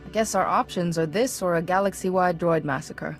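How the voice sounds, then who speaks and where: An actress playing a calm, resolute female Jedi in a video game, speaking in a composed, earnest way. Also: lowish voice, fairly quick, close to an everyday voice. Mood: composed, concerned. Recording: dramatic music underneath.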